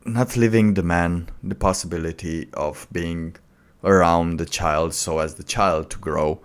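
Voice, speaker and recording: A man giving casual, off-the-cuff commentary as a streamer, in a halting, rambling way. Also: low-pitched, steady tone, smooth. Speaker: streamer reacting to a video.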